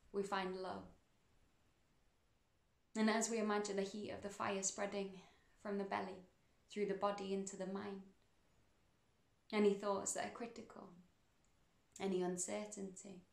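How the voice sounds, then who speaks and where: A woman leads a guided meditation in a soothing, unhurried way. Her mid-range voice has a noticeable fry to it. She speaks in measured phrases with pauses, and the room adds clear echo around her words. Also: medium pitch.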